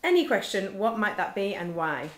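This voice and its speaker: A woman interviewing a quiz team, asking each member brisk, polite questions. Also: medium pitch, very animated. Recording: echoey room.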